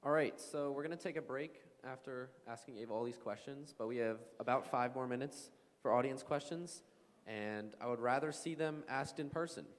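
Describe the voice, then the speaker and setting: A man's voice: medium pitch, moderate pace. A man moderating a student debate, making plain announcements to the audience. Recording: echoey hall.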